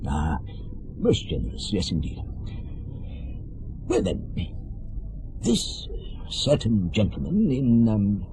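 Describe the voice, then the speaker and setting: An actor playing a genteel old rogue in a radio drama, hesitant, evasive and oozing mock politeness. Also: male voice, lowish voice, wildly swooping pitch, slow, pauses often, mellow, slight background noise, full character voice. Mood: oily, hesitant. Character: oily, genteel old male rogue selling secrets.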